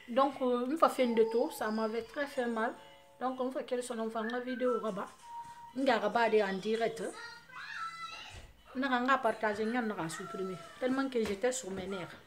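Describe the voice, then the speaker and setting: A woman from Guinea with a Guinean French accent, Susu her first language, talking to her fans in an impassioned vlog, her voice often rising into loud, forceful outbursts. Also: on the high side. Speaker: vlogger addressing her fans. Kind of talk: impassioned monologue to camera.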